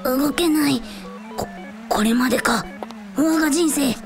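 A woman's voice: high-pitched, a little slow, music in the background. A woman playing a small chubby dragon in child form in a radio drama, talking in a pompous, archaic way that slips into timid pleading. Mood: despairing. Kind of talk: Japanese radio drama acting.

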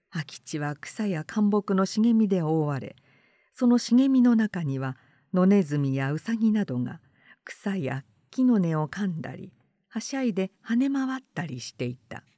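A woman narrator reading literary fiction aloud in a measured, composed manner. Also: on the low side, warm tone, no echo, clean studio sound.

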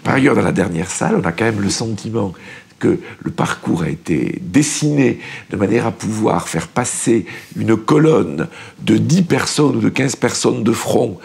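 A man's voice: fairly low pitch, animated, moderate pace, creaky, a little mellow, slightly rough. A man speaking as an art critic in a panel discussion, digressive and wry, with formal wording. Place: TV studio.

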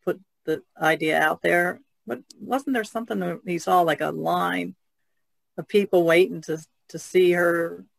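A man chats as a podcast guest, his voice a touch higher than usual and noticeably gravelly. He drops in short, friendly remarks between the host's stories. The recording is dry and close. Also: fairly even intonation, a little slow, no echo. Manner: chatty, adds short remarks. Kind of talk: casual conversation.

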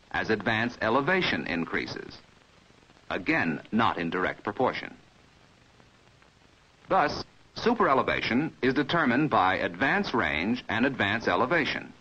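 Man, American accent, slightly husky voice, measured didactic delivery, 1950s training film narrator, muffled old soundtrack. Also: fairly low pitch, sharp tone.

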